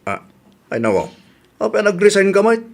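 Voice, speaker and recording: A man's voice: high-pitched, big pitch swings, normal speed, gravelly, heavily acted. A man playing a suspicious Ilocano Filipino husband in a radio drama, probing and grumbling as he talks.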